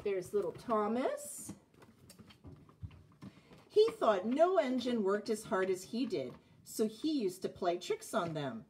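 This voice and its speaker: A woman in her forties reading a children's picture book aloud, with expressive phrasing and a slightly husky voice. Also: medium pitch, slow pace, slight background noise. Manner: expressive, clearly phrased narration with asides about the pictures. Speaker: storybook reader. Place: indoors, child's playroom.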